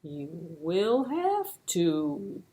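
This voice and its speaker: A woman voicing a patient, determined tortoise in a puppet-show tale, drawing out words slowly and deliberately. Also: lowish voice, huge pitch swings, warm tone, full character voice. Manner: slow drawn-out deliberate speech. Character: slow, patient, determined tortoise, unhurried and persistent.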